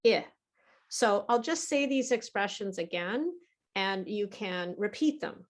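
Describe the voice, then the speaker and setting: A woman's voice: mid-range voice, animated, a little slow. A middle-aged woman teaching English pronunciation, speaking patiently and carefully drawing out the vowel sounds of her example words. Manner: patient, over-articulates target vowels for the learner. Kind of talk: slow, deliberate teaching with repeated example words.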